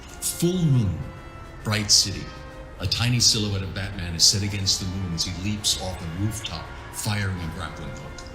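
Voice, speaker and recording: A man's voice: sits low, lively intonation, moderate pace, reverberant, music in the background. A man reading scripted scene descriptions as the narrator of a comic book adaptation.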